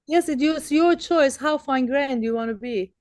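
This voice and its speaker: A high-voiced woman, a native Persian speaker, walking a research seminar through theory in a dry, close sound. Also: normal speed, a little mellow, dry recording. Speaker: academic speaker giving a research talk. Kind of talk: explanatory lecture with question and answer.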